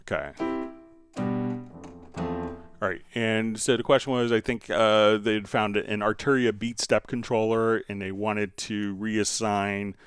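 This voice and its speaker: A man hosting a live stream, casually explaining software step by step while he demonstrates it. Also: fairly low pitch, fairly even intonation, takes it slowly, soft background music.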